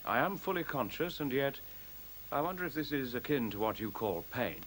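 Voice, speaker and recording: A man's voice: mid-range voice, expressive, fairly bright tone, natural voice, low-quality recording. Serene, articulate machine intelligence, acted by a man, swooping intonation. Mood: serene, superior. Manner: measured, formal, articulate, pedantic. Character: newborn machine intelligence, male-voiced, serene, superior, coolly logical. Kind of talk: 1970s science fiction radio play acting. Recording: faint hiss, 1970s radio recording.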